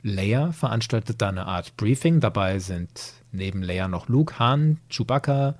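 A man's voice: on the low side, animated, measured pace. A man hosting a podcast, retelling a story in a conversational way. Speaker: podcast host.

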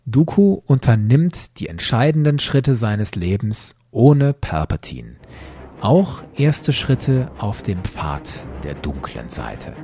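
A man narrating a scripted podcast commentary, explaining with deliberate emphasis. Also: fairly low pitch, sing-song, over music. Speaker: podcast host.

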